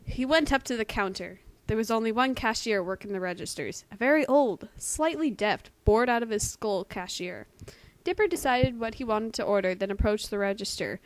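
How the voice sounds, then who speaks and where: Fairly high-voiced woman, amateur story reader. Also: expressive, moderate pace, no echo. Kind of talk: casual story reading with joking asides. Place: friend's house. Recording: faintly noisy amateur home recording.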